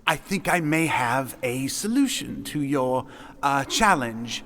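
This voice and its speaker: An actor playing a nervous, obsequious charlatan in an audio drama, hesitant and fawning. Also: male voice, a little high, a bit bright, a little hiss or hum, full character voice. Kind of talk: theatrical audio drama acting.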